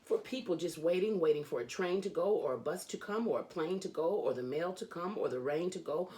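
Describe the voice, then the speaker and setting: A woman hosting a children's read-along, reading a storybook aloud in an animated, sing-song storytelling manner. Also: lowish voice, brisk.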